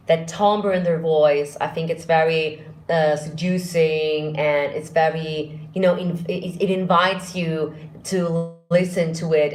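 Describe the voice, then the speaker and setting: A woman, a vocal coach hosting a casual live Q&A, chatty and thinking aloud as she goes. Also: a little mellow. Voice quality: deep voice. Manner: chatty, thinks aloud with restarts, laughs often. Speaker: vocal coach and channel host. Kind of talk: casual live-stream Q&A answering chat.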